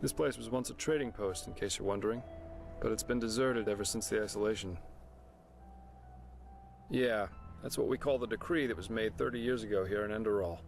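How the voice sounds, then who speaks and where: An actor playing a chatty, wry, easygoing adventurer in a fantasy game, explaining things to a newcomer in a casual, jokey manner. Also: male voice, lowish voice, a little fast, creaky, soft background music. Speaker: travelling companion and guide character. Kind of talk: scripted fantasy game dialogue.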